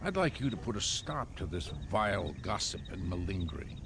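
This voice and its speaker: An actor playing an oily crooked political fixer in a Western video game, speaking in florid, theatrical, pompous phrases. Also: male voice, sits low, sing-song, normal speed, gravelly, background noise, exaggerated voice. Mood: slick, pompous. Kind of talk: video game cutscene acting.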